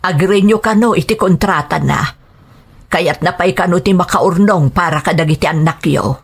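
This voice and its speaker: A woman playing a firm older mother who admonishes in measured tones, in an audio drama. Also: fairly low pitch, breathy edge. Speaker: voice actor. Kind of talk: Ilocano audio drama acting.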